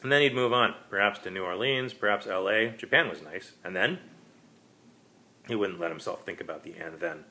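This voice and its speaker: Forceful, lowish-voiced man narrating fiction. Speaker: author reading his own short story. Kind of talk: dramatic scripted narration.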